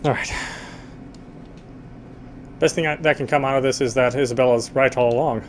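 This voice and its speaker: A man's voice: medium pitch, fairly even intonation, normal speed, a little hiss or hum, close to an everyday voice. A man playing a guarded, wry young man. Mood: reflective. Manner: introspective, wry. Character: guarded, dry-witted young man, quietly caring. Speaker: game protagonist. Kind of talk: first-person inner monologue and dialogue.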